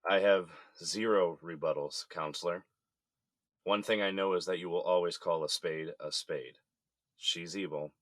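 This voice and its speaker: An actor with an American accent playing a regretful, resigned middle-aged husband in jail, subdued and conceding, in an audio drama. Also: male voice, deep voice, a bit bright, no echo.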